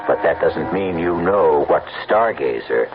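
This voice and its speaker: Deep-voiced American mystery radio host. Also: male voice, animated, measured pace, background noise. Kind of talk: dramatic scripted narration. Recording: muffled old radio broadcast.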